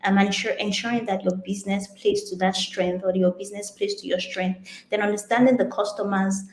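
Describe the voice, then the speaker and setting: A woman's voice: mid-range voice, steady tone, fairly quick. A woman speaking as a guest expert, giving earnest, emphatic advice in a semi-formal online talk. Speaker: guest speaker on business branding. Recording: roomy, echoey sound.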